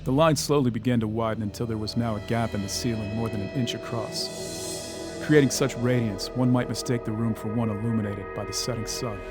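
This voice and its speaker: A man narrating a scripted supernatural horror tale in a measured, literary way. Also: lowish voice, fairly even intonation, natural voice. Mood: ominous. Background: music.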